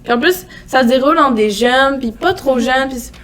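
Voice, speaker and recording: A woman's voice: sits high, moderate pace, fairly bright tone. A teenage girl with a Québécois French accent, co-hosting a casual podcast with loose, jokey talk full of fillers. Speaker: co-host.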